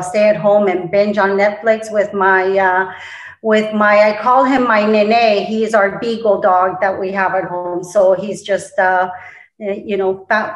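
A woman's voice: mid-range voice, echoey room, highs cut off. A middle-aged woman from Colombia, answering interview questions as a guest real estate agent, with many fillers and restarts.

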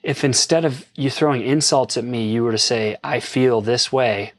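A man's voice: mid-range voice, normal speed, no room sound. A man co-hosting a relationship advice talk, speaking in an earnest, casual way and getting emphatic when he quotes arguments. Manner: earnest, self-deprecating, emphatic when quoting. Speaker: podcast co-host. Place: lives in an RV park.

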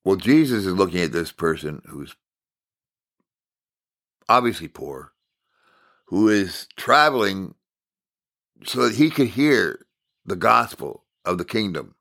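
An American man from Minnesota giving an informal sermon as a Bible teacher, telling stories in deliberate, halting phrases with emphatic repetition. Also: low-pitched, animated, gravelly, fairly bright tone. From Minnesota, United States.

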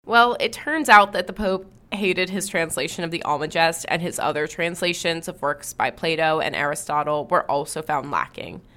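A woman hosting a history podcast, reading scripted narration in a clear storytelling manner and bantering lightly with her co-host. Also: sits low, moderate pace, hardly any pauses, sharp tone.

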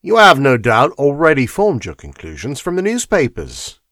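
A self-assured police inspector talks briskly and indulgently, close to the teller's own voice, as a male storyteller does him. His pitch moves a great deal and the sound is dry and close. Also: huge pitch swings, a bit bright, dry recording. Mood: confident.

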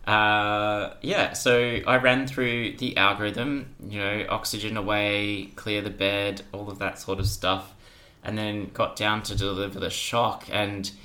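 A man's voice: lowish voice, level tone, measured pace, sharp tone. A young man, telling anecdotes casually as a podcast guest. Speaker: podcast guest, junior doctor.

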